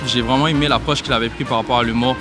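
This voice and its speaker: A man's voice: mid-range voice, fairly even intonation, very fast. Québécois man, thoughtful, mid voice, mall music behind. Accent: Québécois French. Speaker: passerby. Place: indoor shopping mall.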